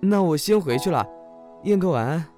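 A man's voice: on the high side, lively intonation, moderate pace, music in the background, lightly acted. A man playing an eager, caring young assistant in an audio drama, solicitous and deferential. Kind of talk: Chinese audio drama acting.

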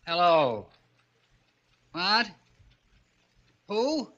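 An actor playing a grumbling, sarcastic, sleepy husband in a 1940s radio thriller style. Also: male voice, high voice, sing-song, extremely slow, pushed and bright, heavily acted. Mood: sarcastic, irritated. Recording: dull, faintly noisy old radio recording.

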